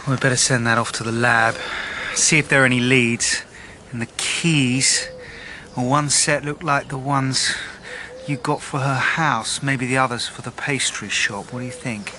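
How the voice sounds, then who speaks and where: A methodical police detective in a naturalistic radio drama. The voice is bright and forceful at a middle pitch, brisk and matter-of-fact, with faint ambience behind it. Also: male voice, fairly even intonation, a little hiss or hum. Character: methodical, level-headed male police detective.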